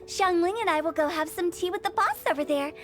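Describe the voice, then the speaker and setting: A woman's voice: very high voice, brisk. An actress playing a playful, eccentric young female funeral parlour director in a game, chatty, teasing and theatrical. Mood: cheeky, breezy. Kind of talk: animated game voice acting. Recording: clear music bed behind voice.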